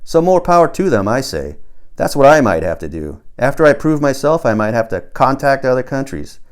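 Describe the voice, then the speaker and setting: A man acts out a brash amateur rocket builder from an audiobook. He is boastful and combative, punching out his folksy rant with heavy emphasis, in a medium-pitched male voice. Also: big pitch swings, a little fast, natural voice. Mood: reasoning, insistent. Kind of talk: audiobook character dialogue.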